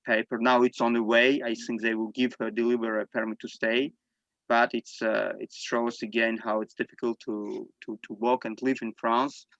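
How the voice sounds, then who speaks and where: A man whose first language is Armenian, speaking English in halting testimony on a video call, with many fillers and restarts. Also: medium pitch, little pitch movement, fairly bright tone, dry recording, dull audio. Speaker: panel speaker giving testimony. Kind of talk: unscripted spoken testimony.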